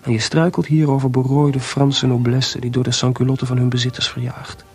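A man's voice: medium pitch, flat delivery, brisk, darkish tone, soft background music, lightly acted. A man playing a composer in a radio play, voicing weary, tender and bitter letters in an intimate, reflective way. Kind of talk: radio play monologue, letters read aloud.

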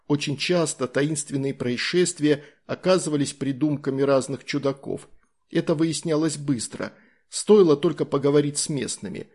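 A man's voice: a little high, a little mellow. A man narrating a scripted story as an audiobook reader.

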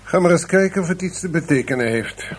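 Higher-pitched, stiff police chief. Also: male voice, lively intonation, brisk, husky. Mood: stern, dry. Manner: clipped, humourless, authoritative. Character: dry, stiff, educated male police superior. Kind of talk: Dutch radio drama acting. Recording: noisy old radio drama recording.

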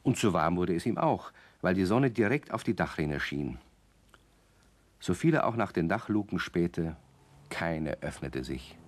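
A man as the narrator of a children's radio play, reading scripted story narration in an unhurried way. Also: low voice, animated. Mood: calm.

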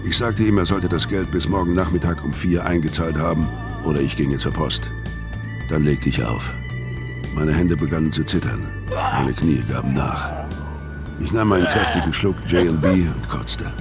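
Deep, weary hard-boiled killer narrating. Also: male voice, moderate pace. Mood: grim, matter-of-fact. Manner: terse, matter-of-fact. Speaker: narrator and lead actor in a radio crime drama. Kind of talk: scripted first-person noir narration in a radio play. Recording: music under the voice.

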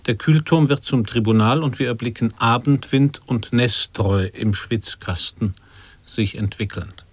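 A man playing a solemn narrator of absurd tales in an avant-garde radio play, measured, precise and formal. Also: medium pitch, dry recording, lightly acted. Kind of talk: scripted radio drama narration, sound poetry.

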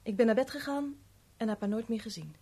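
Guarded young stepdaughter, husky higher voice. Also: female voice, animated, brisk, dark tone. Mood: reluctant, subdued. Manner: terse, reticent answers. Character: guarded, reserved young woman, rich man's stepdaughter. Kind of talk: 1970s Dutch radio drama acting.

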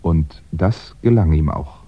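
An actor playing a wry, composed crime writer in a 1960s German radio drama, telling his story in a measured, conversational way with hesitant asides. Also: very deep voice, slightly rough, heavily acted. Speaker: actor playing narrator and lead. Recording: dull, band-limited 1960s radio recording.

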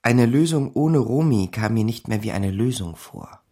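An actor playing a laconic small-time Berlin crook who narrates his own story in a radio drama, dry and deadpan. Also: medium pitch, moderate pace, noisy background, close to an everyday voice. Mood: dry, wry. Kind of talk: radio drama first-person narration and dialogue.

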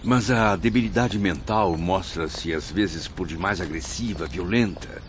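An actor playing a wary, judgmental newcomer spirit who asks anxious questions, with a Brazilian accent, in a radio drama. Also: male voice, low-pitched, measured pace. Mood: uneasy. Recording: muffled audio, faint background noise.

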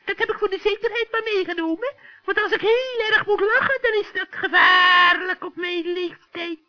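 Very high, cackling old witch. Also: normal speed. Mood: sly, gloating. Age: older. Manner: gloating, cackling, talks to herself. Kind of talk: 1960s children's radio play acting. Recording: dull lo-fi old radio recording.

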